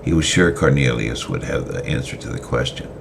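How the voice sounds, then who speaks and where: Male storyteller, semi-dramatic reading, halting delivery, very low gravelly voice. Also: big pitch swings, noisy background. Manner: halting, with fillers and restarts.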